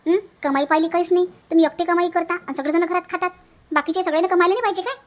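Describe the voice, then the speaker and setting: Woman as young newlywed daughter-in-law, very high pitch, Varhadi Marathi accent, plaintive, weary, colloquial, family cartoon. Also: very fast. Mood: scolding. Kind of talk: animated family comedy dialogue.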